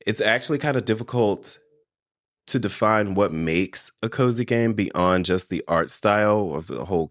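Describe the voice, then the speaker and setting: A man hosting a casual solo podcast, congested from allergies and a little nasal, chatting directly to his listeners. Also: sits low. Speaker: podcast host.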